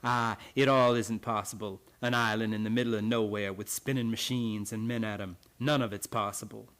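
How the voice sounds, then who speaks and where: An actor playing a grim, blunt old island retainer in a radio play, with rustic, archaic phrasing full of ayes. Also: male voice, on the low side, steady tone, moderate pace, a bit bright, natural voice. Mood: blunt, somber.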